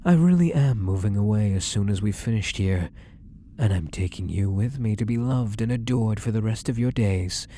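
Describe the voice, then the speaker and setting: A man playing an obsessively loving, possessive fake psychiatrist in an ASMR roleplay, coaxing tenderly in a soft murmur that slips into whispers. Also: lowish voice, normal speed, slight background noise, lightly acted. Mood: possessive, reassuring.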